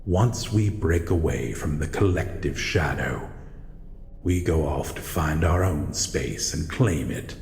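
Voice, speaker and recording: Casting: male actor as shadow creature, extremely low voice, formal and guarded yet courteous, audio roleplay, heavy echoing reverb. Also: lively intonation, vocal fry, a little mellow, faint music behind, full character voice. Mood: calm, guarded. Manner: slow, formal, measured, calls listener human. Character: solitary male shadow monster, guarded but courteous, lonely. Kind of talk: scripted audio roleplay addressed to the listener. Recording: echoey, long reverb, faint noise.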